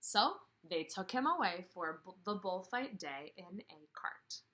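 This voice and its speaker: A woman in her thirties teaching and reading aloud to kindergarteners, expressive, with questions and emphatic pauses. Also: on the low side. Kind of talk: instructional read-aloud for young children. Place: indoors, room with a brick wall.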